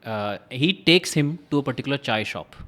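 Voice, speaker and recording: A man's voice: mid-range voice, lively intonation, moderate pace, pushed and bright. A man with an Indian accent chatting casually and with conviction on a podcast, telling stories informally and repeating points for emphasis. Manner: emphatic, informal storytelling with rhetorical repetition. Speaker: podcast guest. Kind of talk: casual opinionated podcast conversation.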